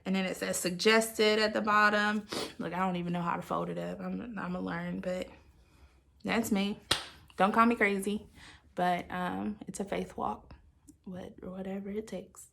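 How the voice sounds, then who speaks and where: A woman's voice: medium pitch, fairly even intonation, normal speed, creaky, a bit bright. A woman talking informally and candidly to her viewers, like a chatty personal video diary. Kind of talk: casual confessional chat to viewers.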